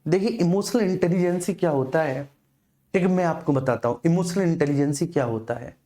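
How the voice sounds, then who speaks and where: A man's voice: a little high, a little fast, warm tone. A man teaching an exam coaching class informally, explaining repetitively and addressing his students directly.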